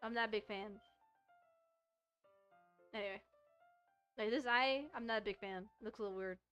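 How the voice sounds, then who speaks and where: A woman's voice: mid-range voice, moderate pace, a bit bright, smooth. A woman streaming a visual novel, mixing casual, rambling, playful chat with reading aloud. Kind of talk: casual let's-play chat and read-aloud. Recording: music behind the voice. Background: music.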